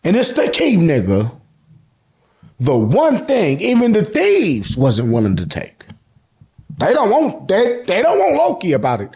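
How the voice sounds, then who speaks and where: An American man giving an excited, slangy fan recap, emphatic and repeating phrases for effect, with casual swearing. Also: high voice, unhurried. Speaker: host of an anime and manga review channel.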